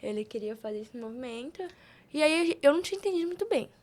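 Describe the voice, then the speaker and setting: High-voiced young Brazilian woman. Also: fast talker. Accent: Brazilian Portuguese. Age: young adult. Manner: animated, informal, acts out quoted speech. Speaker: podcast guest, youth institute member. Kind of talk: casual storytelling on a podcast.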